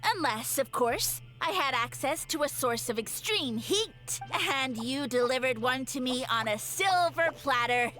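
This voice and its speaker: A woman's voice: high-pitched, animated, measured pace, few pauses, pushed and bright, soft background music, exaggerated voice. A woman voicing a scheming mushroom creature who thinks itself a fallen god, in a game, speaking in grandiose, theatrical villain tones. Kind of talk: game voice acting.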